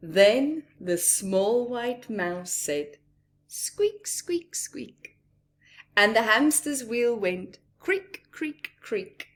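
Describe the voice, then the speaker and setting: Slow, expressive woman storyteller for children. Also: fairly high pitch. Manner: acts out characters, pausing for asides to listeners. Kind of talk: expressive storybook read-aloud to young children.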